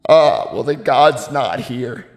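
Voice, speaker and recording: A man's voice: medium pitch, slow pace, natural voice. A man playing an anguished, grieving father in a classical verse tragedy, declaiming solemnly and with passion. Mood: despairing. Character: anguished, grieving father, betrayed brother of a king. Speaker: stage actor. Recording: long reverb, music underneath.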